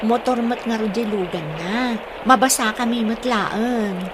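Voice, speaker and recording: Actress as high-voiced young Ilocano woman in love. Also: measured pace, noisy background, close to an everyday voice. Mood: worried. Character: young woman in love, trusting and anxious girlfriend. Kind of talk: Ilocano radio drama acting.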